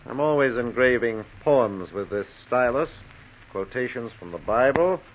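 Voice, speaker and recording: An actor playing a self-assured American schemer near sixty in an old radio crime drama, suave and sly. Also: male voice, medium pitch, animated, natural voice. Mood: smug, genial. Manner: suave, sly, unhurried explaining. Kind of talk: 1940s radio drama acting. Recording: dull, hissy vintage radio recording.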